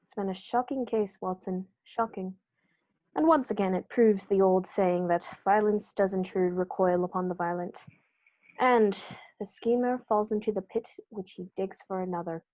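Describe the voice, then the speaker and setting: A woman's voice: medium pitch, normal speed, mellow, lo-fi sound, lightly acted. A woman playing a stern, authoritative male detective in an old-style radio play, speaking formally. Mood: grave. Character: stern, commanding male detective, calm and righteous.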